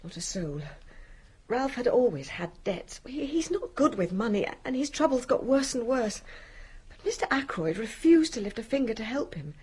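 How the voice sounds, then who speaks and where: An actress playing a genteel young wife who has posed as a parlour maid, earnest and polite in a radio drama. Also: female voice, fairly high pitch, sing-song, normal speed, darkish tone, slight background noise. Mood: earnest, confiding. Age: young adult.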